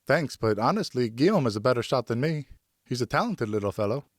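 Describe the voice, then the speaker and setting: Audiobook role: eager French youth acted by a male narrator, raised pitch, admiring, dry close recording. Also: lively intonation, a little fast, dry recording, natural voice. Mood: earnest. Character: eager young French son, novice hunter. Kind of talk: audiobook character dialogue.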